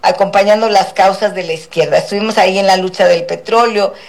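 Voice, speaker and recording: A woman speaks as a politician in a live radio interview. She picks her words with care and often stalls on "eh" before going on. Her voice sits at a middling pitch. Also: steady tone, creaky. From Guerrero coast, Mexico. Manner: measured, with many hesitant "eh" fillers. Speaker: politician guest.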